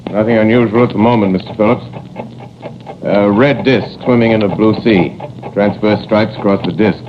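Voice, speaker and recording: An actor playing a grave, learned astronomy professor with a measured, pedantic and dismissive manner in a 1930s radio drama interview. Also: male voice, fairly low pitch, fairly even intonation, lightly acted. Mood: dry, assured. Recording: muffled, noisy 1930s radio recording.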